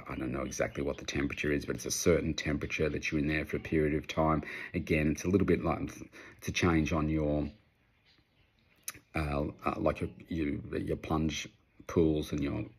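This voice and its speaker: Very deep, gravelly Australian man. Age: 50s. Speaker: personal trainer answering audience questions. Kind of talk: casual unscripted advice talk.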